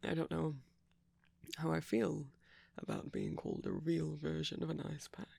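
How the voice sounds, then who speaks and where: A woman playing a gentle, doting vampire boyfriend in a soft-spoken romantic ASMR roleplay, tender and lightly teasing, often whispering close to the microphone. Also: mid-range voice, wildly swooping pitch, normal speed, vocal fry, no room sound, exaggerated voice. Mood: tender, soothing.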